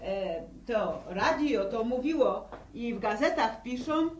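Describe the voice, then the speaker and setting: An actress playing a blunt, sceptical village housewife with a wary, folksy manner in a 1960s radio drama. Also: female voice, on the high side, animated, takes it slowly, a little mellow. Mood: suspicious. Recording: echoey, distant, noisy old radio recording.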